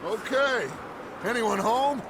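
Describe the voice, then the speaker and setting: A man's voice: very high voice, slow, noisy background, full character voice. An actor playing a charismatic, resolute and fatherly male outlaw gang leader in a western game, with a commanding, theatrical delivery. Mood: brisk, commanding. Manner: commanding, theatrical, rallying speech. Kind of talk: cinematic western game acting.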